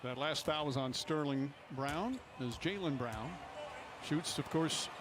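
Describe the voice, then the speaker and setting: Measured male TV basketball announcer, noisy broadcast. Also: fairly high pitch. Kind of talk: broadcast sports commentary. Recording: noisy game broadcast with court ambience.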